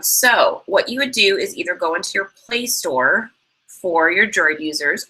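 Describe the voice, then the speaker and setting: Bright-voiced young woman host, chatty. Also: lowish voice, rough recording. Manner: chatty, many fillers, laughs easily. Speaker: co-host of an online sales training. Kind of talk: casual instructional talk.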